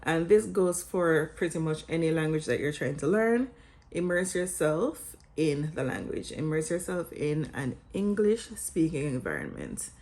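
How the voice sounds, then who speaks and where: Low-voiced Jamaican woman vlogger. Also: animated, a little slow, a little mellow. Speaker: vlogger and English teacher. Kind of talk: scripted vlog talking to camera with casual asides.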